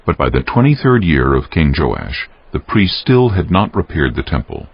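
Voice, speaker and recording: Solemn male narrator for dramatized scripture: rock-bottom pitch with expressive swings, measured storytelling delivery. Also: slightly rough, no echo, highs cut off.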